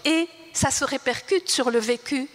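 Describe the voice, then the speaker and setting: Older Tunisian-born woman, high voice, halting. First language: Arabic. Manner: halting, searching for words, with many restarts. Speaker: writer on a panel. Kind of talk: spoken reflection at a panel talk. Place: large hall. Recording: reverberant hall.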